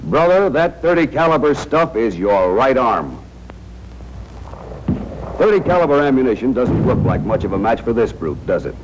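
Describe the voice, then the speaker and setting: American man, wartime training-film narration, slangy and wry, medium-pitched, very varied intonation, faintly noisy vintage film sound. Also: sing-song, normal speed, highs cut off. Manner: tough, wry, slangy, addressing soldiers directly. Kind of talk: 1940s scripted film narration.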